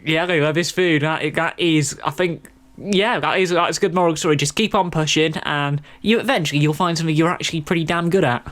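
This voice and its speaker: Man with higher pitch, podcast co-host. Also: brisk, fairly bright tone. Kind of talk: casual film review chat.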